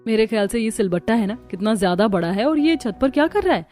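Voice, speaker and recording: Woman acting a young newlywed, fairly high, polite, expressive, cartoon drama, quiet music behind. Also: fast talker, natural voice. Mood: uneasy, complaining. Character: young newlywed daughter-in-law, fussy about heat. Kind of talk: Hindi cartoon story voice acting.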